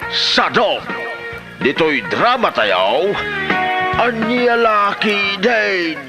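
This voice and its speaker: A man's voice: high voice, big pitch swings, drawn-out words. A man hosting a comedy drama, giving a casual, humorous introduction. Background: prominent guitar music.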